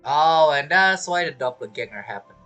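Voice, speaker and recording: A woman with a husky voice giving casual, reactive, questioning commentary as she plays a game. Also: deep voice, lively intonation, normal speed, fairly bright tone, soft background music. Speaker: game streamer.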